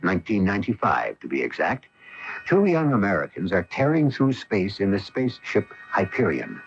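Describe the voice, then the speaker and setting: A man hosting a radio mystery series, giving dramatic scripted narration with portentous, deliberate phrasing. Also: fairly low pitch, huge pitch swings, slight background noise. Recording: dull lo-fi AM radio broadcast recording.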